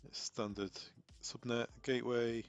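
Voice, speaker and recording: A man's voice: medium pitch, little pitch movement, a little slow, clean tone, dull audio, faint music behind. A man presenting an unscripted technical tutorial, informally talking through each step aloud as he goes. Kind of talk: unscripted step-by-step software walkthrough.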